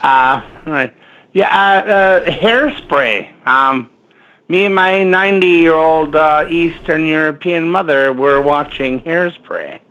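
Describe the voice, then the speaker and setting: Halting caller, man, first language Latvian, slightly higher pitch, muffled lo-fi phone line. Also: fairly bright tone. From Minneapolis, United States. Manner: hesitant, halting, full of fillers. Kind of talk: rambling phone call to a radio show. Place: front porch outdoors.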